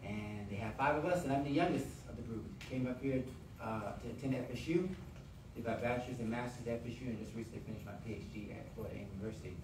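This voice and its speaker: A man's voice: medium pitch, quick pace. A man in his forties from Miami, introducing himself as a candidate in a friendly, hesitant way with many uhs. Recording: echoey hall, far from mic, noisy.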